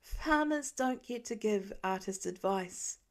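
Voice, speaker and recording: A woman's voice: medium pitch, animated, slow pace. Woman playing a sarcastic, teasing teenage son. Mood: sniffy, dismissive. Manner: sarcastic, snickering, sniffy. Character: wry, teasing teenage son, dryly dismissive of dad.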